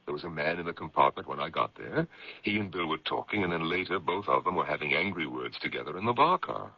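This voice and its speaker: An actor playing a protective, indignant gentleman editor in a radio mystery, with a gravelly, husky, emphatic delivery. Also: deep voice, lively intonation, fast talker, no room sound, full character voice. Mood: defensive. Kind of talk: radio drama acting, dramatic dialogue and first-person narration. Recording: dull, lo-fi vintage radio recording.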